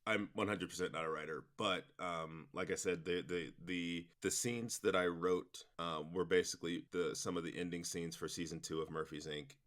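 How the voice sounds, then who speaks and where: A man's voice: deep voice, steady tone, moderate pace, hardly any pauses, a bit bright. A man being interviewed about his audio drama work, answering casually with many fillers and restarts. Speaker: guest, audio drama producer and director.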